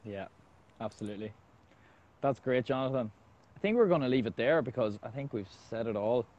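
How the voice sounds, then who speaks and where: A man's voice: mid-range voice, lively intonation, brisk, a little mellow. Earnest man hosting, dull lo-fi sound. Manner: earnest, affirming. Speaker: host of a live video talk. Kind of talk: casual conversational hosting.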